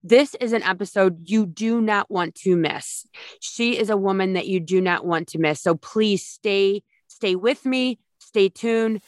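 A woman's voice: mid-range voice, a little slow, dry recording, clean studio sound. A woman hosting a podcast, talking in an effusive, gushing way to her guest and listeners. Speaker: podcast host. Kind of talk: casual podcast chat and ad read.

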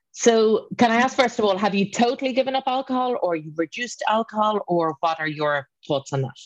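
A woman's voice: sits low, no echo. A woman hosting a podcast, asking questions in a friendly, conversational way. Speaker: podcast host. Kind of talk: casual interview chat. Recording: dry, slightly dull sound.

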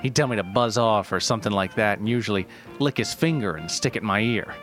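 A man's voice: fairly low pitch, a little fast, a bit bright, faint music behind, natural voice. Man playing a grumbling old race director. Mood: exasperated reminiscing. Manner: rambling, conversational, grumbling. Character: exasperated elderly race director reminiscing about his brother. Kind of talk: acted interview reminiscence.

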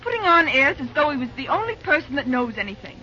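Outspoken young woman, high bright voice, old radio play. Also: sing-song, fast talker, exaggerated voice. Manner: outspoken and sharp-tongued. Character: spirited young woman, quick to distrust. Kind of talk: 1930s radio acting. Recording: dull old radio transcription with faint hiss.

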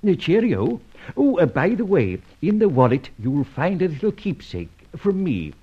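On a lo-fi old radio serial recording, a debonair gentleman adventurer. His slightly husky male voice swings widely in pitch, with wry, formal politeness and urbane polish. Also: very animated, moderate pace. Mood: brisk, courteous. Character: suave, debonair gentleman adventurer, a secret vigilante narrating memoirs.

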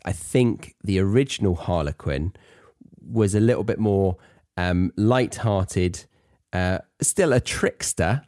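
A British man hosting an educational podcast in a conversational, chatty and reflective way, with thoughtful pauses. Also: low-pitched, warm tone, dry recording, studio quality. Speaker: podcast host and English teacher.

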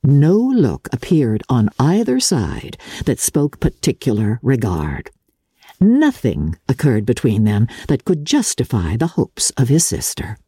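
A woman narrating classic fiction in a theatrical, measured and deliberate literary style, with a husky voice. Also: a bass voice, wildly swooping pitch, mellow, dry recording, studio quality. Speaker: audiobook narrator.

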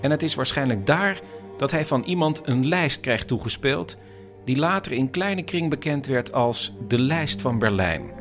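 A man's voice: mid-range voice, expressive, unhurried, music in the background. Man narrating a radio documentary.

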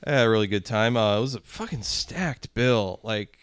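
A man's voice: on the low side, moderate pace, smooth, no room sound, studio quality. A man co-hosting a podcast, chatting casually in loose slang with plenty of swearing. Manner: profane, slangy. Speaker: podcast co-host. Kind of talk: casual chat about music.

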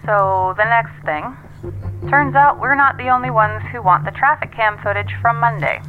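Actress as brisk woman on tinny phone line. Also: a little high, faint music behind. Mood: urgent, confiding. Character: brisk, frank assistant giving a work rundown by phone. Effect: telephone filter. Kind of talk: naturalistic audio drama dialogue.

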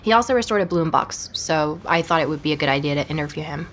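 Actress as low-voiced woman investigator, clear background noise. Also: fast talker, natural voice. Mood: thoughtful, casual. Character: curious, self-assured female investigator reporting her findings. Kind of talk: naturalistic audio drama dialogue.

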